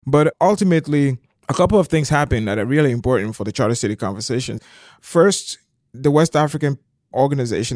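A man's voice: medium pitch, darkish tone, no room sound, clean studio sound. A middle-aged man from Liberia, explaining things conversationally as an interview guest. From southeastern Liberia.

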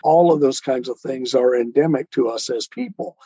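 A man speaking as an interviewed author in an earnest, measured, deliberate and reflective way. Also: mid-range voice, mellow, breathy edge, no room sound, muffled recording.

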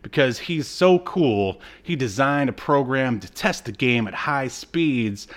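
A man co-hosting a podcast, giving a casual, informal recap in a halting storytelling manner. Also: mid-range voice, animated. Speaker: podcast co-host. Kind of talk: casual episode recap chat.

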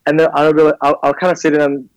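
A man's voice: a little high, completely flat delivery, fast, clear, resonant tone, dry recording, muffled recording. A man in his thirties from Canada, a clothing brand founder, telling his story in a casual interview with many fillers and restarts. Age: 30s.

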